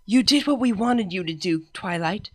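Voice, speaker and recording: A woman's voice: mid-range voice, lively intonation, moderate pace, voice pushed up, lightly acted. A woman voicing a young pony princess in a comic storybook, reassuring in a hesitant, casual way. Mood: consoling. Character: soothing, tactless young pony princess, gently consoling.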